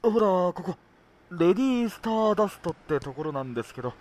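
An actor playing a polite, soft-mannered middle-aged uncle in an audio drama, speaking formally and wistfully. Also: male voice, high-pitched, sing-song, brisk, a little hiss or hum. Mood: courteous.

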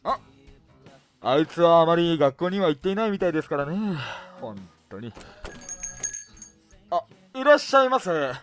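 An awkward cafe keeper with a lively, swinging delivery. He shifts between scolding and stiff politeness, in voice drama style, with faint music behind. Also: on the high side, normal speed, pauses often. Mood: stiffly polite. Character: man posing awkwardly as polite cafe uncle. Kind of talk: Japanese voice drama acting.

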